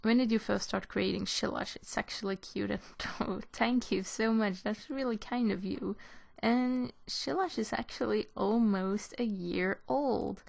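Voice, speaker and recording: A woman chats casually as she answers questions from viewers. Her voice sits at a medium pitch with a noticeable creak. Her delivery is informal and a little hesitant, as in a homemade video from her own room. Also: measured pace, hardly any pauses. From Belgium. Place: in a room at home.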